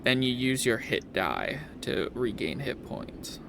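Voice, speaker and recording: A man with a creaky, middle-pitched voice runs a tabletop game. He narrates informally and haltingly, circling back over phrases. A faint noise sits behind him. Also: sing-song. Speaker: game master.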